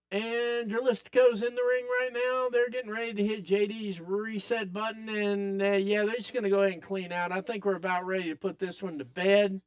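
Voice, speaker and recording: A man with a raspy voice hosting a live wrestling watch-along podcast, excitedly calling the action and chatting with viewers. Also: sits high. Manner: excitable, hypes up the action, talks to chat. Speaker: podcast host.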